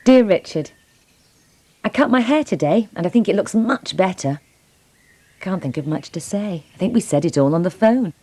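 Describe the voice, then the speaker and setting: A woman narrating a historical account and reading a personal letter in an unhurried storytelling manner. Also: on the low side, lively intonation, mellow, no room sound.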